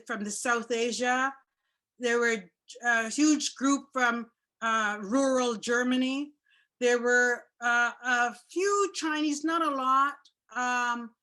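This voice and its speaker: Bright-voiced woman telling a story. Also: a little high. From Jamaica. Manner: warm, chatty, loud voice. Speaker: guest speaker. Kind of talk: casual storytelling on a video call. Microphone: video call.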